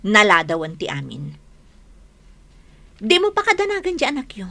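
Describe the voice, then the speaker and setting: A woman's voice: medium pitch, huge pitch swings, normal speed, creaky, no room sound, heavily acted. An actress with an Ilocano accent playing a proud new wife who taunts her rival in a radio drama. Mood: taunting. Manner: sharp, taunting, scornful.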